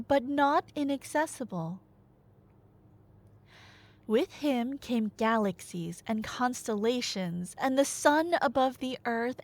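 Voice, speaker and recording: A woman's voice: sits high, no echo. A woman playing a nerdy girlfriend who tells myths in a casual, rambling ASMR roleplay style, with wry, hesitant asides. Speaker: ASMR audio roleplay performer.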